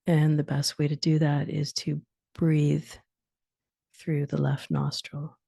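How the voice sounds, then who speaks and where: A woman leading a guided sleep meditation, giving soothing instructions in short phrases with long pauses and soft, breathy endings. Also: sits low, completely flat delivery, no echo, studio quality.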